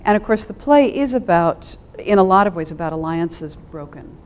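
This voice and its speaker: A woman speaking as a panel guest in a thoughtful, measured way, pausing between phrases. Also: expressive, a little mellow. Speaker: theater scholar, panel guest. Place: on a theater stage. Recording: clear background noise with low rumble.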